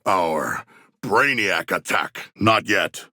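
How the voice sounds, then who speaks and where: An actor playing a hulking, proud humanoid shark warrior in a video game, speaking in grandiose, formal language with a gravelly voice. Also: male voice, sits low, lively intonation, drawn-out words, bright, forceful tone, no room sound, clean studio sound, full character voice. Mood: formal, thoughtful. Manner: grandiose, formal diction with precise, elevated wording. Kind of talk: video game character voice lines.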